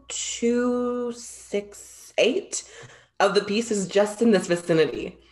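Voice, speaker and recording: Woman playing a low-voiced, formal young muse. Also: natural voice. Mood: proud, fond. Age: young adult. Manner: formal, precise, avoids contractions. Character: earnest, formal immortal female muse in love with art. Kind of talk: theatrical staged reading. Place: indoor room at home, video call.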